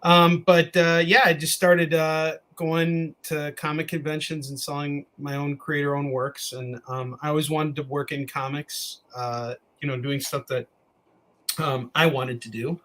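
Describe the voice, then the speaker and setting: A man from the Chicago area, a comics creator talking as a guest in a casual interview, friendly and earnest, often pausing on ums and uhs. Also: fairly high pitch, flat delivery, a bit bright.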